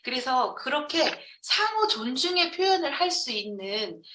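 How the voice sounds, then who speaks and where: Echoey, high-pitched woman lecturing emphatically. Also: sing-song, measured pace, bright, forceful tone. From Jeonju, South Korea. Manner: emphatic, asks rhetorical questions and answers them. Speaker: business training lecturer. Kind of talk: motivational lecture to an audience. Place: lecture room with an audience. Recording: echoey room, distant microphone.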